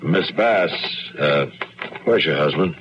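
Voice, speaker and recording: Steady, courteous American Old West lawman; extremely low voice, understated, dull vintage radio recording. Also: takes it slowly, heavily acted. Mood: calm, reassuring. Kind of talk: old-time radio western acting. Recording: muffled old radio broadcast, faint noise.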